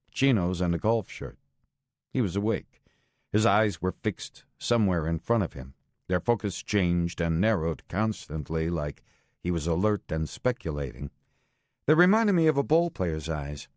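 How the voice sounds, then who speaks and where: Deep-voiced American man narrating a thriller. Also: expressive, measured pace, a little mellow, dry recording, studio quality. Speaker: audiobook narrator. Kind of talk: scripted first-person thriller narration. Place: studio.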